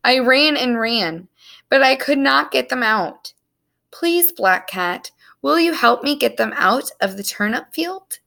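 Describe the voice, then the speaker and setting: A woman's voice: sits high, expressive, unhurried, vocal fry, bright, forceful tone, heavily acted. A woman voicing a poor young boy who herds goats, earnest and pleading, in a storybook reading. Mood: tearful, pleading.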